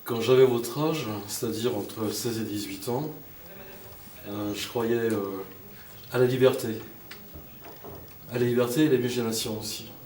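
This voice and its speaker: A man's voice: medium pitch, measured pace, long pauses, darkish tone. A man, a film artistic director, speaking reflectively and hesitantly about his beliefs. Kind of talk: personal testimony. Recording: roomy distant mic with noise.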